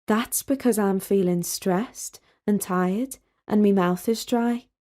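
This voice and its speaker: A woman's voice: mid-range voice, slow pace, mellow, natural voice. Reader lady doing a young college girl in a storybook, down to earth, sceptical, chatty slang. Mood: stressed, sceptical. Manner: colloquial, blunt, casual wording.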